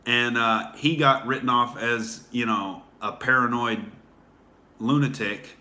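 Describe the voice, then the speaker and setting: A man's voice: mid-range voice, steady tone, slow, pushed and bright. A man hosting a casual livestream, rambling with many fillers and restarts while answering viewer comments. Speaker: livestream host.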